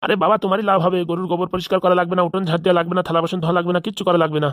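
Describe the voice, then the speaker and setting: A woman voicing a wary, grumbling middle-aged village man in a comedy cartoon, haggling gruffly. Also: on the low side, flat delivery, racing, no room sound, clean studio sound, close to an everyday voice. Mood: coaxing.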